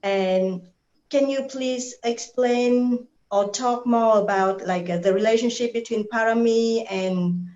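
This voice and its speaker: Slow, hesitant woman on an echoey call. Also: a little high, a little mellow, soft background music. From Vietnam. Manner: hesitant, with many fillers. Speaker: participant asking a question. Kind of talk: question on a video call. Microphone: video call. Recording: echoey, dull video call audio.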